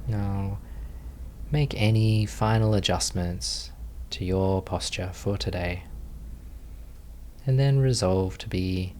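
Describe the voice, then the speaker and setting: A man leading a guided meditation as a teacher, speaking gently and calmly, unhurried, with long pauses between phrases. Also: deep voice, slight background noise.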